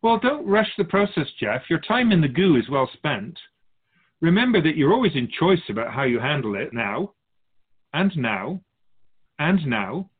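Man playing a serene soul keeper, animated pitch, phone-like. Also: normal speed, breathy edge. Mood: serene, knowing. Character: otherworldly Keeper of Souls, serene wise spiritual guide. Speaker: radio drama character. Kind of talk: radio drama dialogue. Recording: slightly muffled, phone-like narrow sound.

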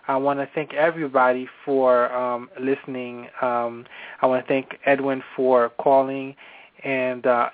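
Male talk-radio host on a phone line: flat delivery, hesitant fillers, tinny audio. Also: medium pitch. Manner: hesitant, many fillers and repeated words. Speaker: show host. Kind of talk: casual call-in radio talk.

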